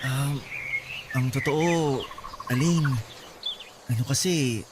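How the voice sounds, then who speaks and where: A man's voice: mid-range voice, slow, heavy background noise, close to an everyday voice. A man playing a shy, earnest, lovestruck young suitor with a hesitant manner in a romantic radio drama. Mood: nervous, hesitant. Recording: loud music under the voice.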